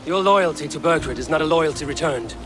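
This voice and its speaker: A male actor as a resolute Viking warrior. He speaks in short, firm, measured phrases, persuasive and merciful, as in a dramatic game scene with prominent music behind him. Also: fairly high pitch, lively intonation, natural voice. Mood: grave, insistent. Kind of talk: dramatic video game acting.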